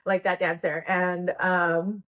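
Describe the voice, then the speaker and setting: A woman's voice: lowish voice, level tone, slow, a bit bright. Giggly American woman, casual and slangy. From United States. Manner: informal and slangy, giggly, peppered with "like". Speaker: podcast guest, pole dance instructor and performer. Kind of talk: casual conversational interview.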